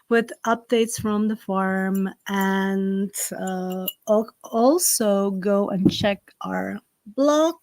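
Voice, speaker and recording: A woman with a Slovak accent, a native Slovak speaker, hosting a live-streamed ritual from home and giving slow, gentle instructions full of fillers. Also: medium pitch, dry recording. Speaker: spiritual ritual host. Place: home in the south of France. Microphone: computer on a live stream.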